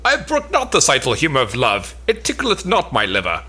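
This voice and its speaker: Man as blustering foolish knight, bright forceful rasp, wildly swooping pitch, pompous, comic stage play. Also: huge pitch swings, moderate pace, exaggerated voice. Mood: haughty. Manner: pompous, grandiose declaiming. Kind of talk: comic Elizabethan stage drama, cold read.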